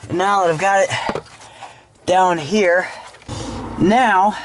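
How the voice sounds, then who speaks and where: A man's voice: high-pitched, fairly even intonation, takes it slowly, background noise. A man casually narrating a hands-on car tutorial in an informal, self-deprecating way, with some mild salty slang. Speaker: host of a DIY car how-to video. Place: in and around a vehicle while working on it.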